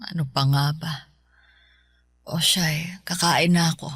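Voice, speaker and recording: Sickly, gently stubborn elderly Filipina mother. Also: deep voice, steady tone, unhurried. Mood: reluctant. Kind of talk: Tagalog radio drama acting.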